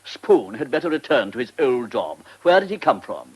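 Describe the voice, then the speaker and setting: An actor with an English accent playing a pompous, irascible government minister in a British radio drama, scolding and blustering. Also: male voice, medium pitch, big pitch swings, moderate pace, breathy edge. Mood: indignant bluster. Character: pompous irascible male government minister, middle-aged or older.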